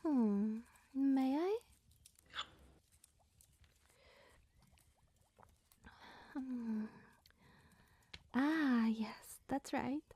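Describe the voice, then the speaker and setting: Soft, breathy, slow-talking young witch acted by a woman. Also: fairly high pitch. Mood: musing. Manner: coy, flustered, rambling with musing hums. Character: sweet, bashful, scatterbrained young female witch. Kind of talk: ASMR roleplay, soft and partly whispered.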